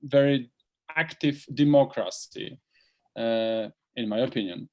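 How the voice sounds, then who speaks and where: A man moderating an online conference, speaking haltingly with many fillers. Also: medium pitch.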